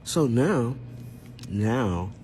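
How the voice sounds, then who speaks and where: A man's voice: medium pitch, animated, drawn-out words, darkish tone, a little hiss or hum. A man telling a casual first-person story in an aggrieved, emphatic manner, throwing out rhetorical questions and acting out exchanges. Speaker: storyteller recounting own experience.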